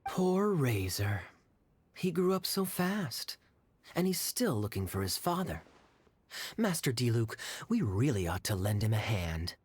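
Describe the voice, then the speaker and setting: A smooth young cavalry captain from a video game. He is teasing and wry, with elaborate courtesy. His pitch moves about a great deal as he talks. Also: very animated, measured pace, a little mellow, dry recording. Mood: sympathetic. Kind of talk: video game character voice acting.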